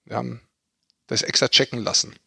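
A man's voice: medium pitch, little pitch movement, brisk, vocal fry, sharp tone, breathy edge, dry recording, clean studio sound. A man co-hosting a sports podcast, chatting casually in a teasing, tongue-in-cheek way. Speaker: podcast co-host, ice hockey pundit.

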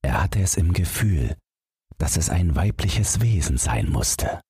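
A man's voice: deep voice, big pitch swings, unhurried, dark tone, husky, no echo, clean studio sound. A man reading a scripted bedtime fairy tale as an audiobook narrator.

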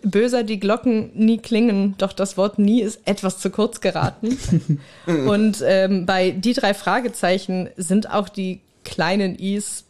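A woman's voice: mid-range voice, steady tone, a little slow, few pauses, a little mellow. A woman speaking as a guest in a casual, informal podcast conversation.

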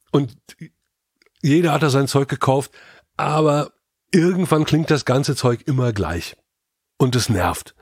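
A man's voice: medium pitch, husky, dry recording, studio quality. A man co-hosting a podcast in casual panel chat, colloquial and cheekily self-important. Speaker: podcast co-host.

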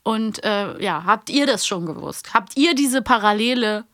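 Woman podcast host, sharp bright voice, chatty n animated w bits of english slang, dry close mic. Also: medium pitch, measured pace, no room sound. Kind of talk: casual podcast chat, retelling stories.

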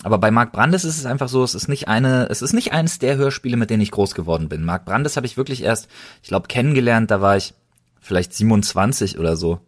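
A man's voice: on the low side, lively intonation, fast talker. A young man hosting a podcast in a casual, chatty style. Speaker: podcast host.

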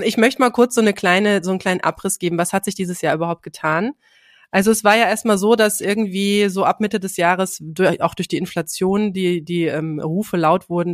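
A woman's voice: lowish voice, no room sound, clean studio sound. A woman with a slight cold, co-hosting a podcast and patiently explaining numbers with lots of fillers and restarts. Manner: many fillers and restarts, explains figures step by step. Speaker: podcast co-host.

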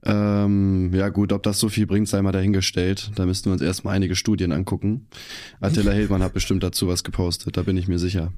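A hoarse young man co-hosting a podcast, chatting casually in slang with lots of fillers. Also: sits low, flat delivery, fairly quick, a little mellow, no room sound, studio quality. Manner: laid-back, slangy, many fillers. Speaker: podcast co-host and streamer. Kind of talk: casual chat.

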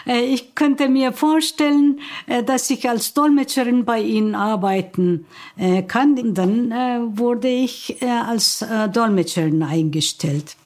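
An older woman in her seventies with a Turkish accent recalls her life. She speaks slowly and unhurriedly, stopping often to find her words. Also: on the high side, darkish tone. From Turkey. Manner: slow and thoughtful, with frequent hesitations. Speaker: interviewee. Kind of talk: personal recollection.

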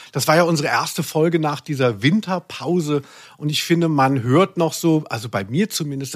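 A man's voice: a little high, no echo, studio quality. A man co-hosting a casual, jokey podcast, talking in a wordy, self-mocking, rambling way. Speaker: podcast co-host. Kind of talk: casual, jokey podcast chat about audio dramas.

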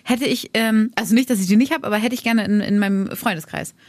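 A woman's voice: medium pitch, quick pace, a little mellow, no room sound. A young woman co-hosting a podcast, chatting in a friendly and easy way. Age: young adult. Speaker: podcast co-host. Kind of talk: casual chat.

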